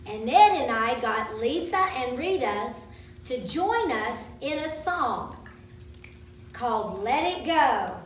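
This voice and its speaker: A woman's voice: fairly high pitch, very animated, slow. A woman reading a childhood story aloud to children at a live event, with dramatic storytelling delivery. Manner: dramatic, acts out quoted speech. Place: large room at a live event. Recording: roomy, distant microphone, faint background noise.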